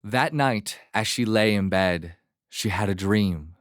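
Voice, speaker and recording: A man narrating a fairy tale as a storyteller, in a measured, expressive manner. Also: on the low side, no room sound, clean studio sound.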